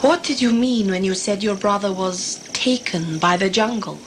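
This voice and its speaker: An actress playing a determined, guarded traveller on an expedition, formal and curt, in a sci-fi audio drama. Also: female voice, medium pitch, normal speed, natural voice. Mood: serious. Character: determined, guarded female client on a jungle expedition. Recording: noisy amateur recording with background ambience.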